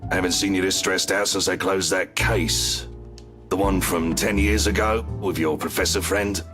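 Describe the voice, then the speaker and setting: A man acting a gruff, deep-voiced barkeeper. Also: fairly even intonation, normal speed, lightly acted. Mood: teasing, blunt. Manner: blunt, wry, slangy, calls listeners kiddo. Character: gruff, teasing older male pub barkeeper. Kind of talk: video game voice acting. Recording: voice over background music.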